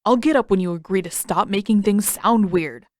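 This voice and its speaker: A woman's voice: mid-range voice, moderate pace, no room sound, clean studio sound, lightly acted. A woman voicing a dramatic teenage brother in an audiobook story, grumbling and indignant with emphatic delivery. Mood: flustered, screechy.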